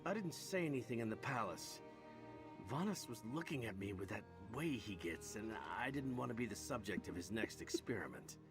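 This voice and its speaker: An actor playing a wry, roguish heroic knight in a fantasy game, with a conversational delivery. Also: male voice, lowish voice, animated, moderate pace, over music, close to an everyday voice. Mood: earnest, uneasy. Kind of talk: scripted game dialogue.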